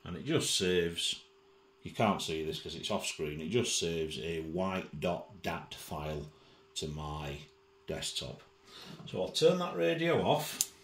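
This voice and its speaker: A man giving an informal software demonstration as a tutorial presenter, methodical and thinking aloud, recorded indoors at a desk. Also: low voice, very animated, slow, reverberant.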